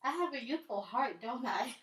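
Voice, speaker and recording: A woman's voice: fairly high pitch, expressive, moderate pace, a bit bright. A woman in her thirties chatting playfully on a livestream, answering viewer comments. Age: 30s. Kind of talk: casual live-stream chat. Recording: roomy, distant from the mic.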